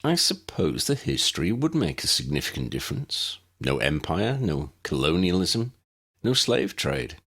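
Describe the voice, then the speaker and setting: A man's voice: low voice, big pitch swings, moderate pace, fairly bright tone, husky, heavily acted. A man voicing an inquisitive older man in an audiobook, formal and reflective. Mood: curious, reflective. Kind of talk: audiobook character dialogue.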